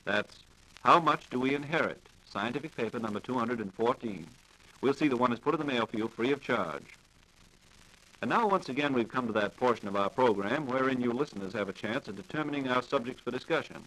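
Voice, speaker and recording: A man's voice: medium pitch, a bit bright, rough recording. An American man hosting a 1940s radio programme with formal, courteous, polished scripted announcing. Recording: vintage 1940s radio broadcast recording.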